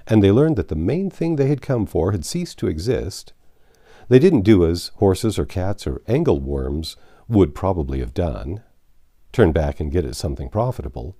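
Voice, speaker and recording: A man narrating a classic novel aloud in an expressive, measured and dramatic literary style. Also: sits low, dry recording, studio quality. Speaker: audiobook narrator.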